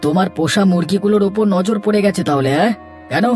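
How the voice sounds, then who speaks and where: A man's voice: sits high, fast, darkish tone, faint music behind, close to an everyday voice. A man voicing a concerned, defiant younger villager in a cartoon, earnest and resolute. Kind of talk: Bengali moral-story cartoon dubbing.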